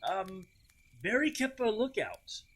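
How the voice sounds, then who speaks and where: A man acts a gentle storybook father, slightly hesitant as he invents a tale. The intonation is animated and music plays behind him. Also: sits high, slow pace, voice pushed up, exaggerated voice. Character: gentle, hesitant dad improvising a bedtime tale. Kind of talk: dramatized children's read-aloud.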